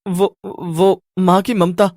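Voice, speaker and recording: A man's voice: high voice, little pitch movement, takes it slowly, a little mellow, no echo, clean studio sound. A man voicing a silly, film-loving ordinary fellow with theatrical exclamations in a Hindi cartoon story. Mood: terrified, pleading. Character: carefree, silly film-loving man who turns frightened.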